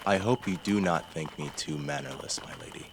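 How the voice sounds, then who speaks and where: A low-pitched young nobleman played by a male actor in a fantasy audio drama. He is formal and courteous, choosing his words with cool calculation. Faint noise sits behind the voice. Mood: courteous, measured. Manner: formal, deliberate, polite.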